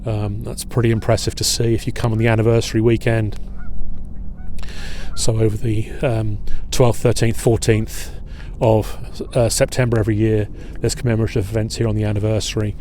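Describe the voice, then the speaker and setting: A middle-aged man leading an outdoor history tour at a waterfront fort. He talks in a relaxed, halting way with many filler pauses. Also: lowish voice, a little hiss or hum. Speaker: historian and battlefield guide.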